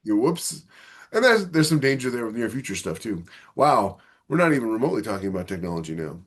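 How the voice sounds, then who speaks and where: A man's voice: medium pitch, very animated, quick pace, slightly rough. A man talking casually as a podcast co-host and author, opinionated and fond of illustrating his points with mock quotes.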